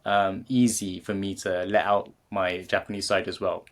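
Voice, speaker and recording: A man in his thirties with a British accent speaks in a very low voice. He talks loosely as an interview guest, stopping and restarting often, with plenty of fillers along the way. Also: flat delivery, normal speed. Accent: British English. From England. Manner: hesitant, many fillers and restarts. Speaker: guest, creativity coach. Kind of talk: casual interview conversation.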